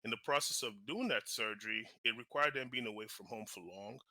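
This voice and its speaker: A man from Nigeria, a guest on an interview show, telling his life story in a lively, casual way with many fillers and restarts. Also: on the low side, brisk, no echo. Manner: animated, frequent fillers and restarts. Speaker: guest, real estate investor and author.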